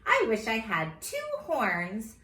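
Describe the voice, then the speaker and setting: A woman reading a children's storybook aloud in a silly, theatrical, expressive way, like a teacher at story time. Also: on the high side, slow pace. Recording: roomy echo with faint background noise.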